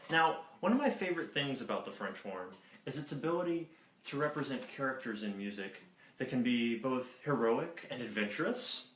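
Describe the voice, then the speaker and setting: A man who plays French horn, clearly explaining his instrument to schoolchildren. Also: medium pitch, expressive, normal speed, a bit bright, a little hiss or hum. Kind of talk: introducing an instrument to children. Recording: roomy.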